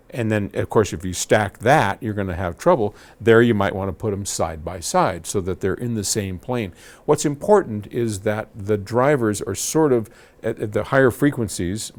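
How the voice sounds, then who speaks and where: A man's voice: on the low side, darkish tone. A man hosting a casual advice talk, speaking in a folksy, conversational way with rambling asides. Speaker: host answering audio questions.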